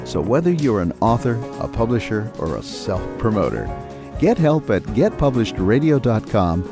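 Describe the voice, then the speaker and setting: A man's voice: on the low side, very animated, normal speed, music in the background. A man working as a radio announcer, reading a scripted show outro.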